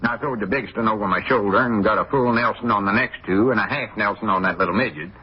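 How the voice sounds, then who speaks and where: An actor playing a boastful, blustering small-town old-timer with a rural Arkansas accent, folksy and evasive in 1940s radio comedy style. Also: male voice, fairly low pitch, quick pace, husky. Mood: boastful storytelling. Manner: folksy, evasive, self-important, backtracking. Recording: muffled, dull 1940s radio recording.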